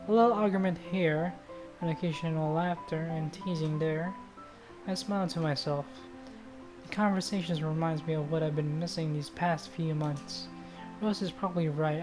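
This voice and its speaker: A woman's voice: sits low, fairly even intonation, normal speed, music in the background, low-quality recording. A woman streamer reading visual novel narration aloud, now and then stumbling and rereading phrases.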